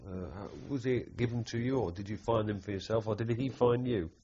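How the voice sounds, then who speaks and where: A man's voice: lowish voice, fairly quick, mellow, a little hiss or hum. A man putting probing questions in an oral history interview, often rephrasing as he goes. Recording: dull, muffled tape sound.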